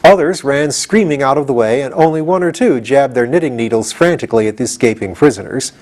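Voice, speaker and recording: A man narrating a storybook as an expressive reader and host. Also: mid-range voice, a little fast.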